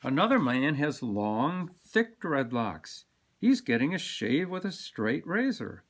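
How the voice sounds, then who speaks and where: A man reading a children's picture book aloud with expressive, dramatic emphasis. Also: fairly high pitch, slow pace. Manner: animated, dramatic delivery with sound-word emphasis. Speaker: storybook reader.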